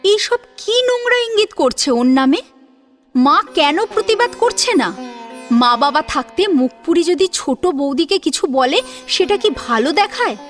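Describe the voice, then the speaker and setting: A woman narrating a scripted audio story in an expressive, dramatic, rhetorical manner. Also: very high-pitched, a little slow. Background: music.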